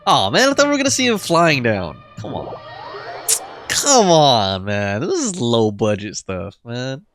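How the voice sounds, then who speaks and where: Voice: medium pitch, wildly swooping pitch, unhurried, fairly bright tone, soft background music. A game streamer giving casual, slangy and playful reactions with muttered asides.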